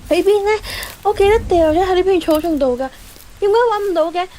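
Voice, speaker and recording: A woman's voice: very high voice, brisk, dark tone, slight background noise. A woman playing a heartbroken, devoted teenage schoolgirl in an audio drama, with pleading, emotional delivery. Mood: desperate, tearful. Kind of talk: Cantonese audio drama acting.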